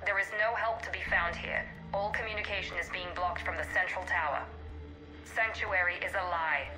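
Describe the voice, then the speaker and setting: Sharp, clipped woman agent, strong music bed, female actor. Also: on the low side, moderate pace, close to an everyday voice, low-quality recording. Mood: urgent. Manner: crisp, urgent, clipped. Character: sharp confident female operative.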